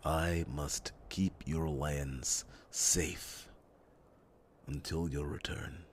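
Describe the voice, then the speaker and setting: An extremely low-voiced, loyal guard captain played by a man in a fantasy audio roleplay. He speaks very slowly and formally, with breathy, solemn reassurance and long dramatic pauses. Faint background noise sits behind the voice. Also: fairly even intonation, full character voice. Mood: solemn devotion. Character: loyal, earnest male captain of a royal guard.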